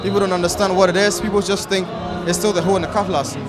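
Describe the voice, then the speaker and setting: A man's voice: high-pitched, flat delivery, quick pace. A man from Ghana, an agriculture entrepreneur, explaining his work steadily in a red carpet interview. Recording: music and crowd behind.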